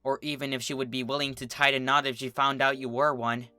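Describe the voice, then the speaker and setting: A narrator voicing a bookish, reassuring young female pony with a gentle, earnest, articulate manner, in a cartoon fan story. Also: mid-range voice, level tone, a little fast, smooth, soft background music, lightly acted.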